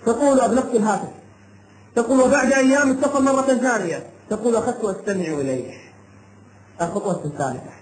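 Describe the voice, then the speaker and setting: A man preaching an impassioned sermon, telling a cautionary tale in short, emphatic phrases with dramatic repetition. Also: very high voice. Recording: echoey room sound with light background noise.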